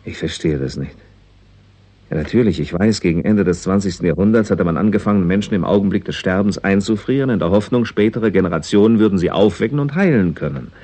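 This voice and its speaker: A man's voice: deep voice, lively intonation, quick pace, mellow, breathy edge. An actor playing a sceptical, puzzled male foreigner who explains with some condescension, in a 1960s German radio play. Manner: explanatory, questioning.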